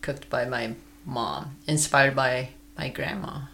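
A podcast co-host chatting casually, easygoing and unhurried, laughing often. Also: mid-range voice, fairly even intonation, creaky, pushed and bright, a little hiss or hum. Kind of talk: casual chat.

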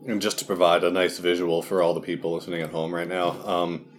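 Male podcast co-host, very deep voice, dry teasing wit. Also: flat delivery, fast talker. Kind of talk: casual chat about films.

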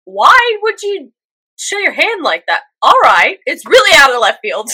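A woman's voice: high voice, big pitch swings, normal speed, pushed and bright, low-quality recording. A young woman co-hosting a podcast, chatting casually in a playful, teasing way and running through the episode list. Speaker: podcast co-host. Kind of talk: casual chat.

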